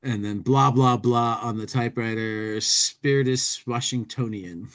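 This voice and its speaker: A man's voice: medium pitch, measured pace, a bit bright. A man hosting a podcast, chatting casually and reading comic lines aloud in a playful, dramatic way. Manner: acts out speech-bubble lines playfully. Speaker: podcast host reading an old humor magazine aloud.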